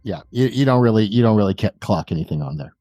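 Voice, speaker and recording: A man narrating a tabletop roleplaying game as game master, in a deliberate, measured way. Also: fairly low pitch, lively intonation, dark tone.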